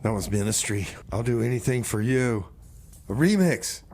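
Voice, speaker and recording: A man's voice: mid-range voice, lively intonation, moderate pace. Offhand male radio DJ, noisy recording. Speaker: community radio DJ and host. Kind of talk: casual on-air banter and song announcing.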